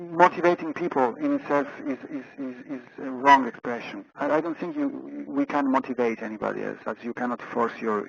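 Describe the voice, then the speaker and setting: A man's voice: medium pitch, gravelly. A man with an Italian accent presenting a webinar over a phone handset, hesitant, with many fillers and repeated words. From Italy. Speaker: webinar speaker. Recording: muffled phone line.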